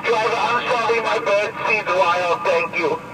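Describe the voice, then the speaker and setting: A man with a high-pitched voice banters over CB radio. The transmission is distant and buried in heavy noise. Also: a little slow.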